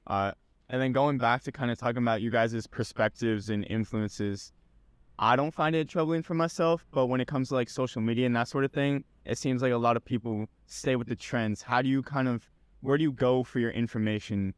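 A man interviewing a group in a casual podcast, asking his questions in a relaxed, slightly halting way. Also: medium pitch, clean tone, no room sound.

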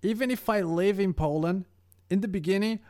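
A man whose first language is Brazilian Portuguese, narrating a prepared script about learning languages in a careful, steady way. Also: high voice, fairly quick.

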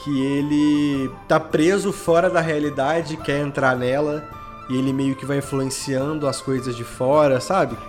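Man, Minas Gerais Brazilian accent, fairly high voice, casual slangy storytelling, music behind. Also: fairly even intonation, unhurried. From Belo Horizonte, Brazil. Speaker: guest, audio drama podcast creator. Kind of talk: casual anecdotal chat. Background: background music.

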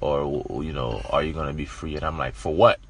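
A man's voice: a bass voice, steady tone, a little fast. A man co-hosting a podcast, talking in a blunt, candid, slangy way. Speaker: podcast co-host. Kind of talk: casual chat.

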